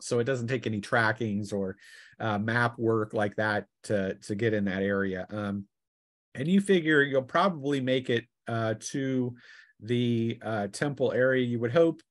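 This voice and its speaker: A man working as game master, narrating a tabletop fantasy session in a halting way, thinking aloud as he goes. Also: mid-range voice, dry recording, studio quality.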